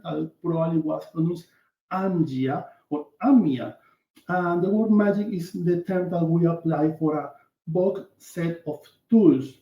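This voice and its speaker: A man from Venezuela with a Venezuelan Spanish accent, a native Spanish speaker, giving an earnest online workshop lecture over a video call, halting with frequent fillers. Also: a little high. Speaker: workshop presenter. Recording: echoey room, dull lo-fi call audio.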